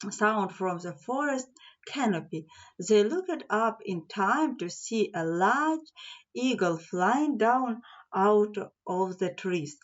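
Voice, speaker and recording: Lo-fi audio; female children's audiobook narrator, high-pitched, expressive, deliberate. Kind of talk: scripted children's storytelling.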